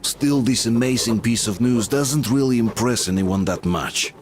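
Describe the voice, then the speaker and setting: An actor playing a weary, doubtful soldier, giving measured first-person narration in a video game. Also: male voice, mid-range voice, darkish tone, a little hiss or hum, lightly acted. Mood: pensive, wry. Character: weary reflective soldier narrating his journey, quietly doubtful.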